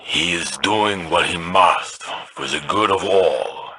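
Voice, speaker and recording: Stern game mentor, low raspy voice, slow and deliberate. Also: male voice, pushed and bright, exaggerated voice, rough recording. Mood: stern, measured. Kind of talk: video game voice acting.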